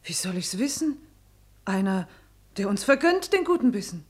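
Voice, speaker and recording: A woman playing a wary, fearful working-class sister, narrating and speaking in measured rhymed verse in a radio drama. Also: mid-range voice, very animated, breathy edge. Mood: guarded. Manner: declamatory, measured verse delivery.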